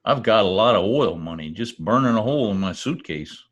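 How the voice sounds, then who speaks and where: Man putting on a folksy, bashful cowboy, swooping pitch. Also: expressive, fairly quick, highs cut off. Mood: lonely, hinting. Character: bashful, folksy Oklahoma cowboy with oil money. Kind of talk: old-time radio comedy-drama acting.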